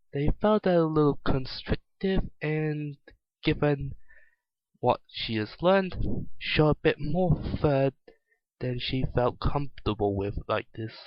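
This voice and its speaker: A man giving an amateur dramatic story reading, halting and stumbling over words as he narrates. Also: medium pitch, no echo, lo-fi sound. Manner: halting, stumbles and openly remarks on slips. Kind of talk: dramatic reading of fan fiction.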